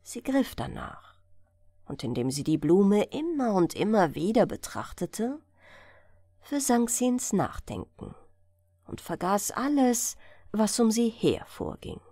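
A woman narrating a bedtime fairy tale as an audiobook reader, with gentle, measured delivery. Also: mid-range voice, sing-song, dry recording.

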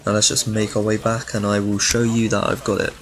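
Low-voiced man doing game walkthrough. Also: level tone, fairly quick. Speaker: video game guide maker. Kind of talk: casual walkthrough commentary over gameplay. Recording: clear background noise, game ambience.

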